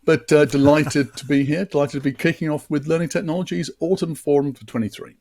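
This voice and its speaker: A man in his sixties presenting a live online session, chatty and upbeat with frequent fillers and restarts, his voice a little deeper than usual after a late night. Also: fairly quick, a little mellow.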